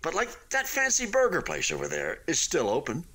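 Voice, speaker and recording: An actor in a radio play voicing an unfinished hotel building, a wistful but stubbornly hopeful man who talks in a halting, conversational way. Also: fairly high pitch, wildly swooping pitch, pushed and bright, husky, full character voice. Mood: wistful, subdued. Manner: halting, conversational, with sighs and emphatic swings.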